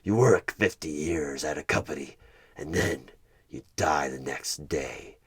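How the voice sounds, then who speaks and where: An extremely low, sinister male demon conducts a job interview as a horror roleplay. The voice is a slow, husky growl that slips into breathy whispers. The delivery is deliberate, menacing and manipulative, a tempter pressing a corrupt deal. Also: heavily acted. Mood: coldly dismissive. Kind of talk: one-sided horror ASMR audio roleplay.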